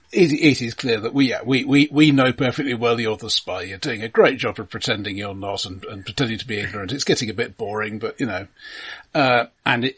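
A man's voice: on the low side, fairly bright tone, breathy edge. A middle-aged British man in a casual film discussion, often restarting his words as he talks. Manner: halting, repeated word starts. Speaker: film podcast host.

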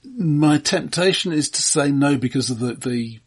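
A man co-hosting a film podcast, talking casually in a measured way with dry, wry humour. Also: fairly high pitch, lively intonation, husky.

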